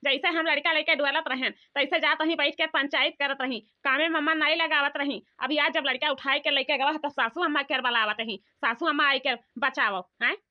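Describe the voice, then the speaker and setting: Woman playing a high-voiced grumbling old village mother-in-law. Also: fairly even intonation, brisk, few pauses, natural voice. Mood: scolding, exasperated. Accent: Awadhi. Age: older. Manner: complaining, rambling rustic talk. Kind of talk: comic cartoon dialogue. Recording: dull lo-fi sound.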